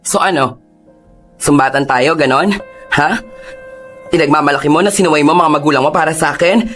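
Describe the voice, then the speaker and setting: A man playing a bitter, guilt-ridden Filipino lover who drinks, in a radio drama. His voice is fairly high for a man and emotionally heavy, with music behind it. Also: a little fast, fairly bright tone, natural voice. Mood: bitter, scornful. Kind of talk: Tagalog radio drama acting.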